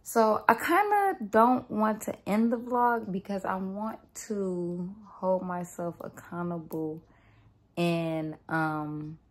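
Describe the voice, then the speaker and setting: A woman's voice: medium pitch, slow. A young woman vlogging to her phone camera at home, rambling casually and speaking upbeat blessings over her viewers. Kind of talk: casual vlog chat to camera. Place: home room, indoors.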